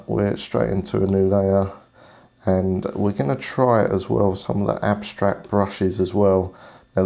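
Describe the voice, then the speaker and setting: A man with a cold, hoarse, talking through an art tutorial in a relaxed, casual way. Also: lowish voice. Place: indoors at a desk.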